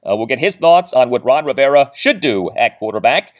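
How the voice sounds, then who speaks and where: A man hosting a sports podcast, delivering commentary and stat recaps in a measured, emphatic announcer-like way. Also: medium pitch, expressive.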